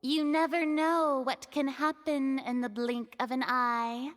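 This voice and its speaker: A woman's voice: sits high, level tone, takes it slowly, a bit bright. A woman voicing a vain, theatrical young noble who speaks with grandiose, showy courtroom flourish in a game cutscene.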